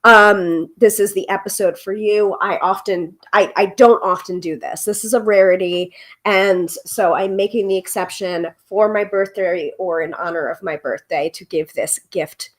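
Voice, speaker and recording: A woman's voice: mid-range voice, steady tone, moderate pace. A woman in her forties with a froggy, congested voice, chatting casually as the host of a live tarot stream, with lots of ums and laughter. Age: 40s. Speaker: tarot reader hosting a live show.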